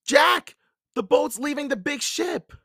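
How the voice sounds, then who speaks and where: A man's voice: very high-pitched, animated, takes it slowly, gravelly, sharp tone, no echo, studio quality, pitched above the performer's own voice, full character voice. A man voicing a bold, scolding young girl in a storybook, calling out urgently and insistently.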